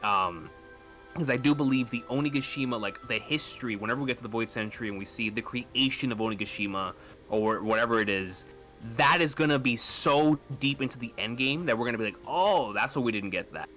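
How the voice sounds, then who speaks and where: A man with a bright, forceful, mid-pitched voice chats casually on a fan podcast. He is excited and emphatic, often repeating himself to stress a point, with faint music underneath. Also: a little fast. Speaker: podcast speaker discussing manga.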